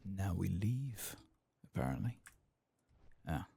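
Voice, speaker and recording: A soft-spoken man doing ASMR commentary on a video game, sometimes dropping into a whisper, with a husky, breathy voice. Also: low-pitched, very animated, takes it slowly, no room sound. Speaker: game streamer narrating a playthrough.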